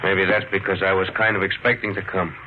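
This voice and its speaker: A muffled, faintly noisy vintage radio recording features an actor as a suspicious male policeman. He is folksy and laconic, with a flat delivery in the 1950s thriller style. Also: low voice, a little fast, close to an everyday voice. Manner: laconic, plain-spoken.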